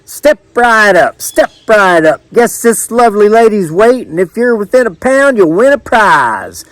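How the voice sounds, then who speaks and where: A man voicing a brash county fair caller, hawking in drawn-out calls, in a storybook narration. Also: high-pitched, huge pitch swings, fairly bright tone, no echo, voice pushed up, full character voice. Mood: rousing.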